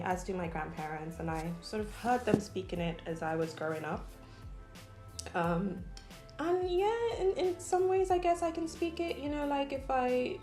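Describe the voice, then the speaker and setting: Young woman from nigeria chatting between bites, lots of ums, echoey room w soft music. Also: mid-range voice. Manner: chatty, eating between lines, many ums. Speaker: food vlogger. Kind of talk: casual mukbang chat.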